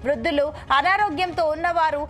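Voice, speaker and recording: A woman news presenter reading a scripted report in a formal, measured manner. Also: very high-pitched, fairly bright tone. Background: music bed.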